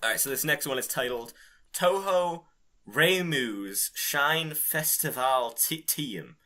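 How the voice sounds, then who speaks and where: Giggly, profane podcast co-host with swooping pitch. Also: expressive, sharp tone. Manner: profane, giggly, stumbles through deliberately misspelled text. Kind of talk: casual comic banter and amateur fanfic reading.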